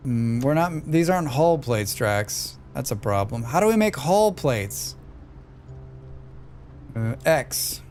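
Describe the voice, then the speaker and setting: A man at a middle pitch narrates his own gameplay, half muttering to himself as he works things out. His voice is a little scratchy, and faint music plays underneath. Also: very animated, slow pace, darkish tone. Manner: thinking aloud, muttering while playing. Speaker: streamer playing a demo. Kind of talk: live gameplay commentary.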